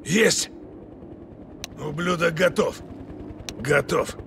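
A man voicing a gruff, commanding special forces soldier giving terse, urgent radio commands in a video game. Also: a little high, extremely slow, fairly bright tone, slight background noise, heavily acted. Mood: triumphant. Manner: terse, urgent, barked military callouts.

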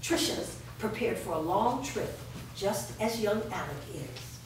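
A woman's voice: mid-range voice, expressive, measured pace. A woman reading stage directions as scripted narration at a staged reading. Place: bare indoor room with small audience. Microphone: far camera. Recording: echoey room, distant mic, noisy.

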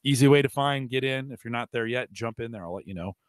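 A middle-aged man hosting a casual tech podcast, hoarse and raspy from allergies, rambling with fillers and restarts, on a boom-arm studio mic in a home studio. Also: fast, no room sound. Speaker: podcast host. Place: home studio room with shelves.

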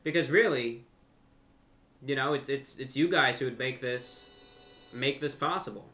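Male, young adult, American. Bright, sharp-edged voice, mid pitch; earnest casual vlog host talking straight to camera. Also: unhurried, a little hiss or hum. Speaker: host of a video channel and radio show. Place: furnished room at home.

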